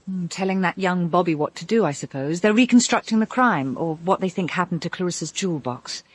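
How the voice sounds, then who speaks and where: A reasonable, watchful British woman in a radio drama. Her voice sits fairly low and she speaks in a measured, thoughtful way, recorded close and dry. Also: lively intonation, husky, no echo. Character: composed, observant woman, quietly probing and reasonable. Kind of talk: full-cast radio drama dialogue.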